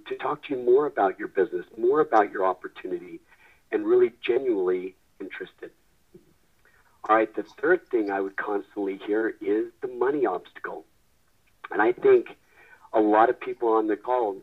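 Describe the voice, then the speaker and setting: A man presenting a sales webinar, his voice raspy from a head cold, talking earnestly with frequent restarts. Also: on the low side. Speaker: webinar presenter, company founder. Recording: tinny phone line.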